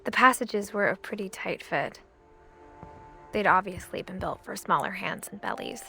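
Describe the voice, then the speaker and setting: A woman's voice: medium pitch, normal speed, vocal fry, bright, forceful tone, soft background music. A woman playing a reflective young woman who narrates her family history in a video game, intimate and understated. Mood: wistful, reflective. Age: young adult. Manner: wistful, understated.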